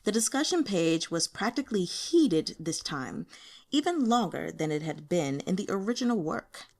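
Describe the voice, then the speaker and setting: A woman reading a story aloud as narrator, with an informal, playful and expressive delivery. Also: on the low side, moderate pace, vocal fry. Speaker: audiobook reader. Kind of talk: animated amateur fiction narration with character voices.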